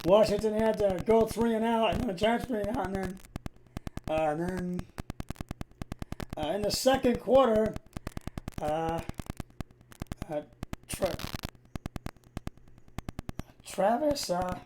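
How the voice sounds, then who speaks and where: A raspy-voiced man hosting a sports show, recapping a football game in a halting, hesitant way with frequent stumbles. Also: high voice, background noise.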